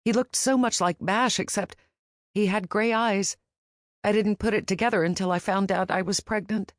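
A woman's voice: medium pitch, steady tone, normal speed, no echo, clean studio sound, voice pushed up, natural voice. A woman voicing a stubborn, fiery young queen who talks with defiant emotion.

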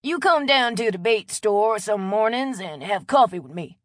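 A woman's voice: mid-range voice, lively intonation, moderate pace, dry recording, studio quality, pitched above the performer's own voice, lightly acted. A woman voicing a friendly old Cajun man with a folksy Louisiana drawl in an audiobook. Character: friendly old Cajun man, weathered bait-shop regular. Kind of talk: audiobook character voice.

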